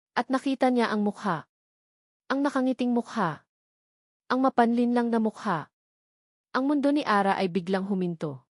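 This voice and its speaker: A woman narrating a scripted romance story, reading in a steady, dramatic way. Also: medium pitch, no room sound, studio quality.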